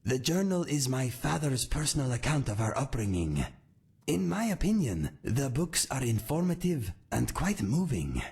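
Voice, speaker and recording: An actor voicing a calm, earnest male cat-folk swordsman in a game, speaking in a measured, formal way with a raspy voice. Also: mid-range voice, heavily acted. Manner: measured, formal and warm-hearted. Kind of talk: game character dialogue.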